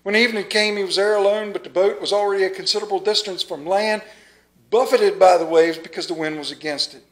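A middle-aged man, a pastor, preaching a lively sermon in a small church, reading scripture and moving around the pulpit with dramatic pauses. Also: high-pitched, rough recording. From United States. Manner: animated, moves about the pulpit, dramatic pauses. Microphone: stand mic at pulpit, far camera.

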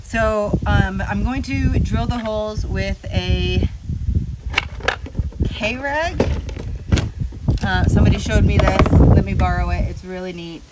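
A woman's voice: mid-range voice, slow pace, long pauses. A woman in her thirties casually explaining her trailer renovation as she works, thinking aloud and joking at her own expense. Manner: chatty, self-deprecating, thinking aloud. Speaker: DIY renovation vlogger. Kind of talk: casual how-to narration. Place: outdoors on a driveway, and inside a camper trailer. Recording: loud outdoor noise behind.

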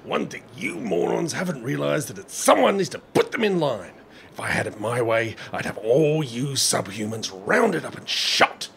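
An actor playing a bigoted, haughty government minister in an audio drama, indignant, pompous and venomous. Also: male voice, mid-range voice, very animated, normal speed, creaky, a bit bright. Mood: hateful, menacing. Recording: noisy, with background ambience.

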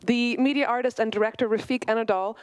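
Brisk woman introducing the next speaker. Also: on the high side, steady tone, clear, resonant tone, dry recording. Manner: brisk, warm introduction with fillers. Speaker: event host introducing speakers. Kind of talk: stage introduction. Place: theater stage.

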